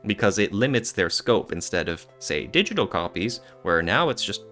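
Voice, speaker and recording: A man's voice: lowish voice, big pitch swings, moderate pace, sharp tone, faint music behind. A man co-hosting a podcast in casual discussion, often searching for his words. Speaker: podcast co-host.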